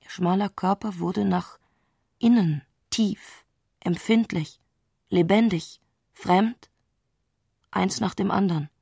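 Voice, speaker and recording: Eine Frau spricht in einem literarischen Hörspiel die introspektive Erzählerin, die mit bedachten Pausen die Gedanken einer aufgewühlten Frau schildert. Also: female voice, medium pitch, creaky, warm tone, breathy edge, no echo, clean studio sound, full character voice. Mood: intense, dreamy. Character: intimate, introspective female narrator of a troubled woman's thoughts. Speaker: narrator in a literary radio play. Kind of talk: literary radio drama narration.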